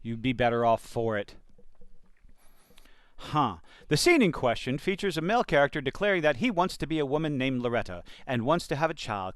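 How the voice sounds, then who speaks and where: Animated, blunt man giving commentary. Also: mid-range voice, clean tone, no room sound, clean studio sound. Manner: blunt, sardonic, emphatic. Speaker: commentator reacting to entertainment news. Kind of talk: opinionated commentary with quotes read out.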